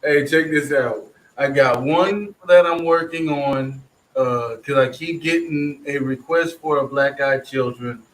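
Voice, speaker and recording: A man's voice: mid-range voice, measured pace, hardly any pauses. Rambling man hosting an echoey chat. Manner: loose, rambling, swears freely. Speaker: stream host. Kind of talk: casual group chat. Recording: echoey room.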